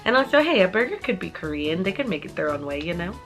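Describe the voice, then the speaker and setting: A woman's voice: lowish voice, very animated, quick pace, soft background music. A woman in her twenties answering viewer questions to her camera in a casual, rambling way, full of "like" fillers. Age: 20s. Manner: chatty, rambling with many "like" fillers. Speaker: vlogger.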